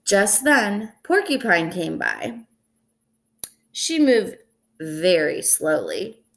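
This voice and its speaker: A young woman reads a picture book aloud at an unhurried pace. Her pitch rises and falls in a lively way, with a deliberate, expressive delivery suited to children. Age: young adult. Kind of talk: storybook read-aloud. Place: indoors in a small room at home.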